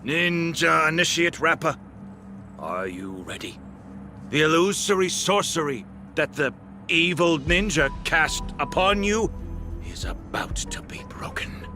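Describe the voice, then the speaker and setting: Male nerdy researcher for a game: excitable, earnest, somewhat high, very animated intonation. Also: unhurried, soft background music, heavily acted. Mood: dramatic, excited. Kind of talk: scripted video game voice acting.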